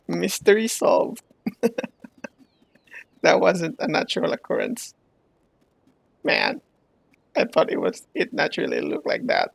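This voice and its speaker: A man with a gravelly, husky voice giving casual reaction commentary as he plays a video game. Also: sits high, huge pitch swings, slow, pauses often, dry recording, rough recording. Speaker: gaming streamer. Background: game music.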